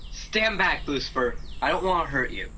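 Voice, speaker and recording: A man's voice: on the high side, sing-song, normal speed, bright, forceful tone, a little hiss or hum, exaggerated voice, rough recording. A man playing an anxious, humble, loyal guardian angel in a radio play, hesitant and earnest in delivery. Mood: wary, defiant.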